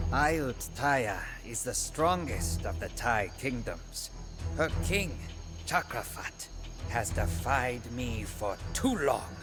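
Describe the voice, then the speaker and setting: An actor playing a proud, brooding Burmese warrior king in a game, narrating his own story in a grave, theatrical, declamatory manner. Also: medium pitch. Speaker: narrator and protagonist of game cutscenes. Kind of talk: dramatic scripted first-person narration. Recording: voice over prominent music. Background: music.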